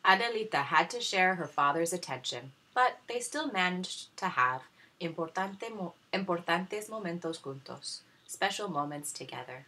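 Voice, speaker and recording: Low, bright, husky-voiced woman storyteller. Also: lively intonation, normal speed, low-quality recording. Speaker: teacher reading aloud. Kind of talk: expressive children's storybook narration, bilingual.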